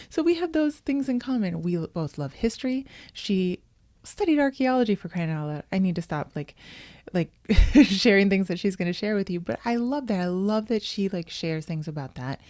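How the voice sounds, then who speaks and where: A woman's voice: on the low side, mellow, no room sound, studio quality. A woman hosting a podcast, talking casually with lots of fillers and small laughs. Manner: chatty, many fillers and little laughs. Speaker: podcast host. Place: home setup, remote call.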